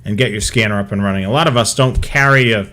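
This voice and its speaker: A man's voice: on the low side, fast, pushed and bright. Casual, conversational male show co-host. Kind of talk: casual chat with examples and advice.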